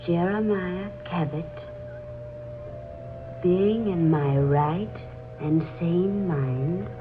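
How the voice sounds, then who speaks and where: An actress playing an earnest, solemn young woman uneasy about her family legend, in 1940s radio drama style. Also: sits low. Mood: hushed, solemn. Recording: muffled 1940s radio recording, organ music behind.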